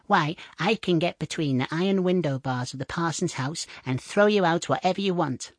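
A man's voice: fairly high pitch, moderate pace, no echo, clean studio sound, voice pushed up, natural voice. A man voicing a tiny, plucky, cheeky thumb-sized boy in a storybook tale, bold and impish. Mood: cheeky, eager.

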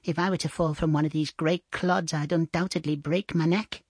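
A man's voice: on the high side, fairly even intonation, normal speed, dry recording, studio quality, pitched above the performer's own voice. A man voicing a tiny, plucky, cheeky thumb-sized boy in a storybook tale, bold and impish. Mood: chirpy, plaintive.

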